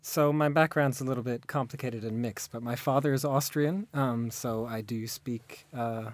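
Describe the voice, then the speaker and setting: Guy editor in the studio, modest and measured, mid pitch, dry close sound. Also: fairly even intonation, no echo. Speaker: acting affairs editor, studio guest. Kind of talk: radio studio interview. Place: radio studio.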